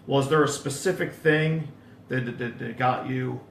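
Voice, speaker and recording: Male talk-show host, casual and halting, gravelly and bright, roomy echo. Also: medium pitch, steady tone, a little hiss or hum. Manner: repeats words often, lots of fillers. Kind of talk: casual interview.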